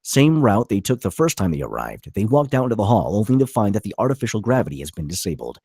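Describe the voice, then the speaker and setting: A man with a low, rough-edged voice narrates stage directions from a screenplay at a table read. His pitch moves around in an animated way, and the sound is dry and close. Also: fast talker, dry recording.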